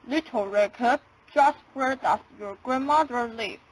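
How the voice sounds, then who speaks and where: A woman's voice: on the high side, unhurried, a little hiss or hum, natural voice, poor audio. A woman voicing a sly, hungry male wolf with a coaxing, scheming manner in a storybook radio play.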